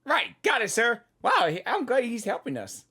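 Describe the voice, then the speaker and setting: A gaming host giving casual live reactions in an excitable, playful, repetitive way. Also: medium pitch, moderate pace, slightly rough. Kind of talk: casual live game commentary.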